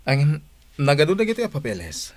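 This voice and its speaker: A man's voice: fairly high pitch, huge pitch swings, a little fast, no room sound. A man playing a dutiful, busy young office worker and caring son in an amateur serial drama. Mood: hesitant, harried.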